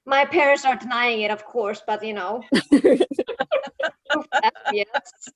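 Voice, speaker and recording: A woman's voice: a little high, slow pace. A woman with an Icelandic accent, a native Icelandic speaker, chatting as a podcast guest in a rambling, self-mocking way with lots of laughter over a video call. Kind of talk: casual interview chat. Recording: dull lo-fi call audio.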